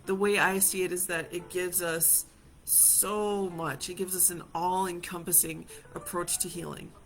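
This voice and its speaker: A woman from Hawaii leading a reflective live session as a community healer, speaking gently and reassuringly to viewers and repeating phrases for emphasis. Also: fairly low pitch, little pitch movement, a little slow, rough recording.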